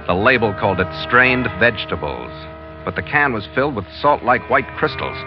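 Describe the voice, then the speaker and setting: An actor playing a tough, skeptical American sea captain in 1940s radio adventure, clipped and dry. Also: male voice, on the low side, sing-song, moderate pace. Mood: measured narration. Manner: clipped, dry, hard-boiled. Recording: dull old radio recording, loud music.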